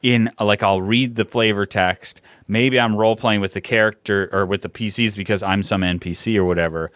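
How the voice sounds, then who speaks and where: A man's voice: lowish voice, fairly even intonation, brisk, a bit bright, dry recording, clean studio sound. A man co-hosting a casual podcast, thinking aloud and rambling about running his tabletop game. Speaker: podcast co-host and game master. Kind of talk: casual chat.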